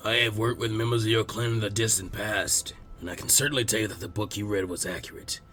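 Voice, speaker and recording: A man voicing a calm, kindly old village leader who speaks gravely in a slow, measured way. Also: on the low side, pitched below the performer's own voice, natural voice. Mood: solemn, gentle.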